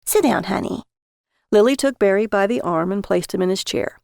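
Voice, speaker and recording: A woman's voice: medium pitch, a little fast, gravelly, darkish tone, smooth, dry recording, clean studio sound. A woman reading a short story as a scripted audiobook narrator.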